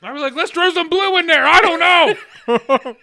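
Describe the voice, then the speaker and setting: A man's voice: extremely high pitch, normal speed, creaky, pushed and bright, dry recording, clean studio sound. A young man co-hosting a podcast in casual chat, loose and joking, cursing and acting out quoted lines. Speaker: podcast co-host.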